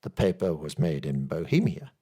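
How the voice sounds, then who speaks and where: A man voicing a modest, courteous doctor, a detective's companion, in a measured and tentative way for an audiobook. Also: very deep voice, big pitch swings, dark tone, slightly rough, voice pushed down, heavily acted. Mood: thoughtful, deferential.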